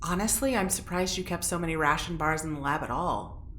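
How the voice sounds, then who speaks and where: A woman playing a sardonic, defiant prisoner with dark humor in an audio drama, speaking in a dry way. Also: low-pitched, fairly quick. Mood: wry, resigned. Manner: dry, sarcastic. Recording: roomy echo, background noise.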